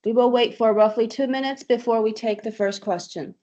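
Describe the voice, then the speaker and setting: A woman's voice: fairly high pitch, steady tone, fairly quick, warm tone. A woman moderating an online earnings call, formally giving instructions and reading out questions. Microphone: online conference call. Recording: dull lo-fi online call audio.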